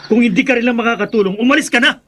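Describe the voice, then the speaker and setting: A man's voice: very high voice, very fast, pushed and bright, breathy edge, exaggerated voice. A man playing an evasive, defensive father in a radio drama, flustered and prone to stammering. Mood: nervous, defensive.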